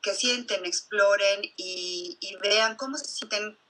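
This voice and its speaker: Woman, psychologist, very bright tone, husky, reflective, frequent fillers. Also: mid-range voice, takes it slowly, low-quality recording. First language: Spanish. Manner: thoughtful, many fillers like este and ¿no?. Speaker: psychologist guest. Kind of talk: conversational interview answers.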